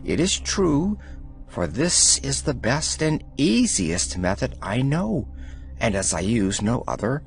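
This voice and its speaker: Male audiobook narrator, very deliberate pacing, measured and solemn, faint music underneath. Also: medium pitch, big pitch swings, vocal fry. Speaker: audiobook reader. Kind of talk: scripted reading of devotional letters.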